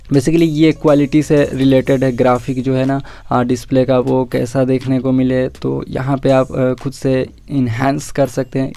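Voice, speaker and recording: A man's voice: medium pitch, mellow, clean tone. An Indian man giving a casual phone tutorial as a tech video host, mixing in English words and addressing viewers as guys.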